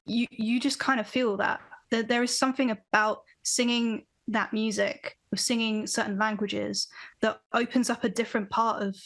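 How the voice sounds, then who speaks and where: A young woman speaks on a video call from home, her voice a touch high. She talks thoughtfully and with animation, circling back over her words as she works out her ideas. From Britain. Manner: thoughtful, animated, many restarts. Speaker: podcast guest, band vocalist. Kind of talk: casual interview chat. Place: home room with bookshelves. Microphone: video call, webcam.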